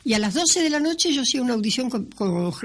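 A woman's voice: high voice, big pitch swings, fast. A woman, a radio actress, reminisces in an interview about her working life.

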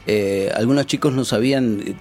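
A man's voice: medium pitch, moderate pace, a little mellow. Argentine man chatting over background music. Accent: Buenos Aires Argentine. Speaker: guest, voice-acting teacher. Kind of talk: casual radio chat, anecdotes. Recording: music bed behind the voice.

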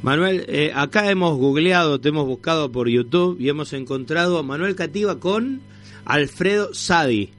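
A man with a Rioplatense Argentine accent hosting a casual radio interview, attentive and quick to chime in. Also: on the high side, lively intonation, a bit bright, faint music behind. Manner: attentive, frequently interjecting short acknowledgements.

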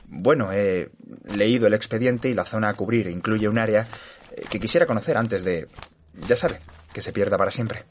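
A man playing a curious, literary-minded state employee, narrating a horror audio drama in a measured, formal way. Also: fairly low pitch, a little hiss or hum, natural voice. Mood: polite, hesitant. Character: curious, literary-minded male civil engineering employee narrating his memoir. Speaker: narrator and lead actor.

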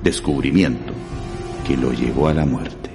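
Very deep, dramatic male narrator. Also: a little slow, creaky. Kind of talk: dramatic scripted true-crime narration. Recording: long hall reverb, music underneath.

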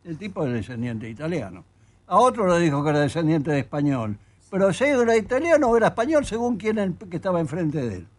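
An older man with an Argentine accent speaks as an interviewed author. His voice sits high and swings widely as he presses his points with indignation. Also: very animated, quick pace. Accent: Argentine Spanish. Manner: emphatic, indignant, reads quotes aloud. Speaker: author guest. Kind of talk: studio interview conversation.

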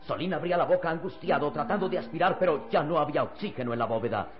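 A man's voice: fairly high pitch, fast. A man narrating an old radio serial in a grave, emphatic, scripted style. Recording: dull old radio recording with music.

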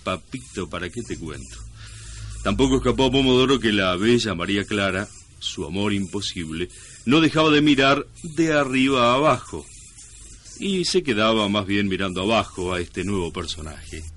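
An actor playing a pompous narrator in mock-solemn scripted narration for a comic radio drama. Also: male voice, on the low side, moderate pace, fairly bright tone. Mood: arch, comic. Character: pompous, easily distracted male radio-play narrator. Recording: noisy old radio broadcast.

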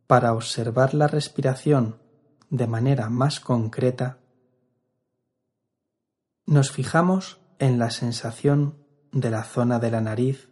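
Slow, husky-voiced man guiding meditation. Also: medium pitch. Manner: calm, measured, with long pauses. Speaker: meditation instructor. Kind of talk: guided meditation narration.

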